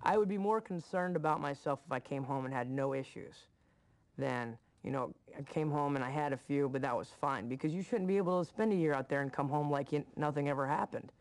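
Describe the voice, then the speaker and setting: A man's voice: fairly high pitch, fairly quick. A man speaking candidly as a war veteran in a documentary interview.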